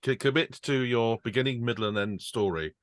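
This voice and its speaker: A man on a script reading panel, chatting casually with quick, wry asides. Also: mid-range voice, no room sound, clean studio sound.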